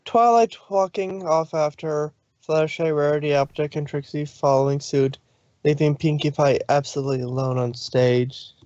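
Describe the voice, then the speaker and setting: A man's voice: a little high, normal speed, a little mellow, dry recording. Man narrating a fan reading, dry lo-fi. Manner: plain reading with slips and laughs. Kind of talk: casual fan-fiction reading on a stream. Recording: dull dry lo-fi stream audio.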